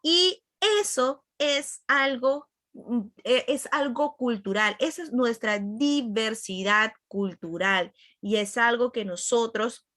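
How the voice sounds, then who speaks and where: A woman's voice: a little high, slow pace, fairly bright tone, no echo, clean studio sound. A woman with a Peruvian accent teaching a class conversationally, repeating key points and checking in with her listeners. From Peru.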